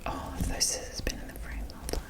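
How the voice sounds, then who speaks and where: A woman hosting an ASMR video, chatting gently and musing aloud close to the microphone, in a show-and-tell style. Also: racing. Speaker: ASMR host. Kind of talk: whispered ASMR doll showcase. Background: tapping and rustling of small objects.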